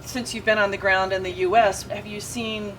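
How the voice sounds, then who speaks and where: Soft-spoken woman host, off-mic and noisy. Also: mid-range voice, little pitch movement, moderate pace. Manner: gentle, hesitant, with repeated words. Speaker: interviewer, host. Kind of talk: casual interview questions. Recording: distant mic in a noisy room.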